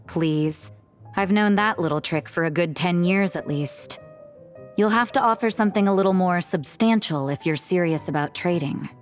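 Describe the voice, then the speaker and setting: A woman playing an aloof, sardonic young nun in an anime video game, deadpan and unimpressed. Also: on the low side, moderate pace, soft background music, close to an everyday voice. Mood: dismissive.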